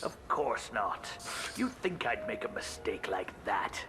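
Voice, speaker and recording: An actor playing an indignant, prejudiced alien merchant in a video game, blustering and halting as he makes accusations. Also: on the low side, big pitch swings. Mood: defensive, scornful.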